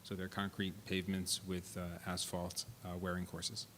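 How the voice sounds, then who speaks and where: A man with a very low, utterly flat voice answers questions plainly and technically, with many fillers. The sound has a long hall echo and faint noise behind it. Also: normal speed. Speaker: project engineer answering questions. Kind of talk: hearing presentation.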